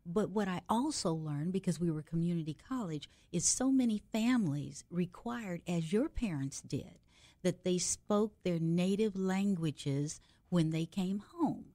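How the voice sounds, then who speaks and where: Woman host, low mellow voice, chatty and reflective interviewer, dry close-miked sound. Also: lively intonation, measured pace, no room sound. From United States. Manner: chatty, reflective, adds personal anecdotes. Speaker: radio show host. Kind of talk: conversational interview.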